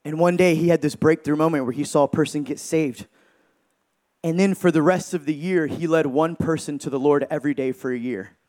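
A man in his thirties preaching a sermon with energy, joking with the crowd and shouting for emphasis. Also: fairly high pitch, a little fast. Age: 30s.

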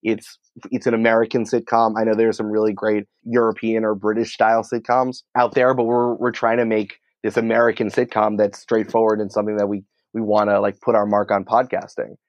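A man with a fairly low voice talks as an interview guest in an informal, explanatory manner. His phrasing is colloquial and conversational. The recording is dry and somewhat dull, with a narrowed band. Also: completely flat delivery, brisk, few pauses, no room sound. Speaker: podcast company creative head, interview guest.

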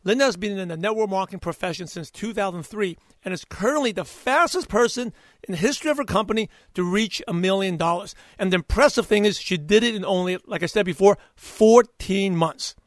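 Hoarse, fired-up male podcast host. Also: high voice, brisk, dry recording, clean studio sound. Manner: energetic, hyped-up delivery. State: hoarse, partly lost voice. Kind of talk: upbeat podcast interview.

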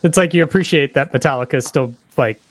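A man's voice: fairly high pitch, quick pace. A man hosting a casual interview, easygoing and agreeable, often answering with short affirmations. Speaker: podcast host interviewing.

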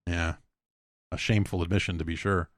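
A man's voice: low voice, little pitch movement, a little fast, dry recording, clean studio sound. A man hosting a podcast solo, chatting casually in a rambling, opinionated, profane way. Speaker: podcast host answering listener mail.

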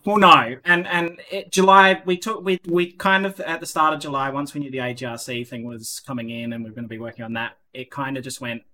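A man's voice: mid-range voice, a little fast, hardly any pauses, bright, forceful tone. A man hosting a casual online community podcast, rambling with lots of fillers and restarts. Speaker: podcast host.

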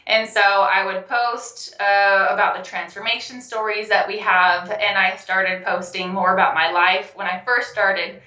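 Young woman, animated storytelling, bright tense voice, echoey room, muffled lo-fi sound. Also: mid-range voice, unhurried, hardly any pauses. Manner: animated, laughs often, acts out quoted phone calls. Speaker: network marketing health coach, guest. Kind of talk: casual interview conversation.

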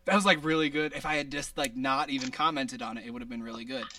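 A man with a high-pitched voice hosting a casual podcast, rambling with many restarts and laughs. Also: expressive, fast, vocal fry, distant mic, a crowd in the background. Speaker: podcast host.

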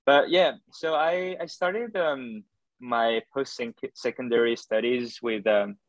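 A man's voice: mid-range voice, takes it slowly, no room sound, poor audio. A man, a doctoral student answering interview questions in a hesitant way, full of fillers and restarts. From France. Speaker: podcast guest, doctoral student.

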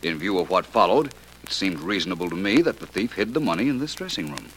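A low, forceful voice for an urbane detective in a vintage radio play. He is crisp and self-assured, with animated swings in intonation, over a slightly noisy old recording. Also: fast, heavily acted. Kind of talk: 1940s-50s radio drama acting.

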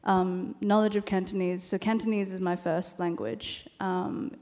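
A novelist woman from Australia, talking thoughtfully with many pauses on a panel and reading from her book. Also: fairly low pitch, fairly even intonation. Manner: soft, thoughtful, many ums. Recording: long hall reverb.

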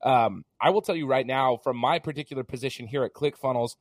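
A man hosting a podcast interview in a casual, chatty way, hoarse as he gets over an illness. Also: mid-range voice, a little fast, no room sound, clean studio sound. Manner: chatty, many fillers and restarts. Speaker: podcast host.

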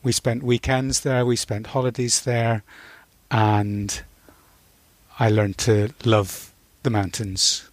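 A man in his sixties from Northern Ireland, reflecting as an interview guest in a thoughtful, halting way, searching for words. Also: mid-range voice, little pitch movement, dry recording.

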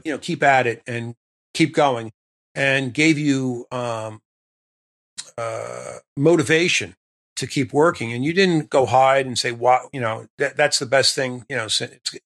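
A man's voice: mid-range voice, fairly bright tone. A man hosting an interview, asking long, rambling questions packed with "you know" and "uh". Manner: rambling, long leading questions full of fillers. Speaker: interviewer host. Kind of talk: conversational interview.

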